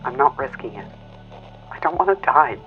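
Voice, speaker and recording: A man's voice: medium pitch, lively intonation, moderate pace, pitched above the performer's own voice. Man voicing a strained woman pilot over radio. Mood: wary pleading. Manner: strained, pleading. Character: weary, frightened young female enemy mech pilot. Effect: radio filter. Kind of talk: audio drama acting. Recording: muffled cockpit radio with music.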